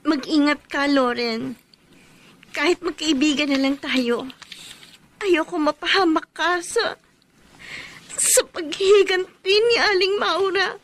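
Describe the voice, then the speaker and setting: A woman's voice: high voice, slow, pauses often, a little hiss or hum. An actress in a radio drama playing an earnest young woman who warns a friend. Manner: earnest, turning frantic.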